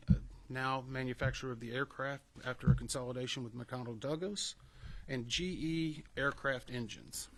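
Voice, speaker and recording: A man's voice: mid-range voice, a bit bright. Man giving a formal official press briefing. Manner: measured, procedural, explanatory.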